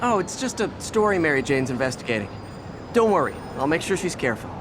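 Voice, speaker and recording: An actor voicing an earnest young adult male hero who talks quickly and gets flustered. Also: fairly high pitch, big pitch swings, background noise. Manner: quick, flustered, self-talking.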